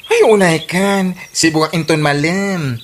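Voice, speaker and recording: A man's voice: fairly high pitch, a little slow, slight background noise, lightly acted. Man acting a grumbling Ilocano neighbour. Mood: defensive. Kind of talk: Ilocano radio comedy drama.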